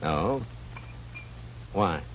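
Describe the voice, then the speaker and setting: Tough laconic american frontier lawman, low voice, dry and wry, hissy old radio western. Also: animated, very slow, a bit bright, exaggerated voice. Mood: dry, guarded. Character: tough, dryly amused frontier marshal in 1870s Kansas. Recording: muffled, noisy 1950s radio recording.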